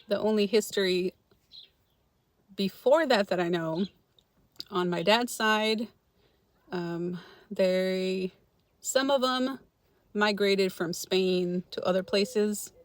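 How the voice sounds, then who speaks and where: Middle aged la woman on a chill livestream, laughs a lot, talks right to her viewers, medium pitch. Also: dry recording. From Los Angeles, California. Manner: chatty, laughs often, talks back to viewers by name. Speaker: frugal-living channel host reading viewer comments. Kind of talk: casual livestream chat.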